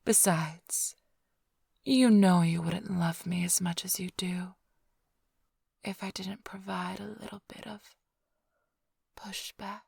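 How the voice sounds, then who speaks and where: A woman playing a flirtatious, smug immortal vampire thief in an ASMR roleplay, teasing the listener in a breathy, half-whispered, languid drawl. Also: medium pitch, steady tone, slow pace, pauses often, darkish tone, no room sound, studio quality, exaggerated voice. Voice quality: breathy, often sinking into whisper.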